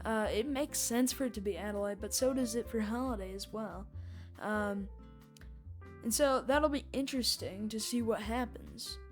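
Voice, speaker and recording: Woman, review host, higher pitch, casual recap commentary, background music. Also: normal speed. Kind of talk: casual audio drama recap and reaction commentary.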